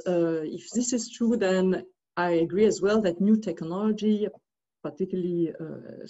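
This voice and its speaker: A woman's voice: fairly low pitch, dark tone, muffled recording. A woman from Switzerland giving an academic commentary over a video call, speaking hesitantly with many fillers and restarts. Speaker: discussant.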